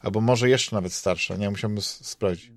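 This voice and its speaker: A man's voice: lowish voice, a little fast, dry recording, studio quality. A man with a Polish accent hosting a podcast in casual chat, rambling and hesitant, often repeating words as he searches for phrasing. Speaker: podcast host. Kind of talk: casual chat about games and comics.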